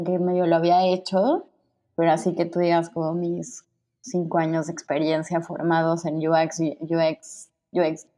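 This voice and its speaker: A woman's voice: lowish voice, dark tone. A young woman with a Mexican accent, a guest chatting casually, rambling with many fillers and restarts. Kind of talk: casual conversation.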